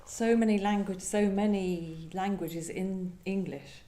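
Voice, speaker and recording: A woman poet with a soft, dark-toned voice speaks in a literary setting. She is reflective and feels for her words, and the room rings noticeably around her. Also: medium pitch, steady tone, measured pace. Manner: hesitant, searching, thoughtful phrasing. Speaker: poet discussing own work. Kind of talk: reflective literary conversation with poetry reading. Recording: roomy live event sound.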